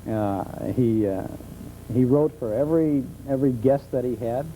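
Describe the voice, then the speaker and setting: A middle-aged man being interviewed as a talk show guest, explaining things conversationally with hesitant pauses. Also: medium pitch, mellow. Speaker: talk show guest, old-time radio enthusiast. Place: television studio. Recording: muffled 1970s television tape with hum.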